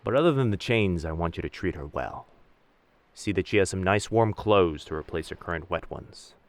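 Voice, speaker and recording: A low-voiced pirate captain, acted by a man for an audio drama, roguish and commanding, with old seafaring turns of phrase. The delivery swings widely in pitch, able to be both tender and menacing. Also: animated, moderate pace. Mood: cool, commanding. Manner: old seafaring diction, addresses others as lass and sir. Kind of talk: roleplay audio drama, speaking to the listener.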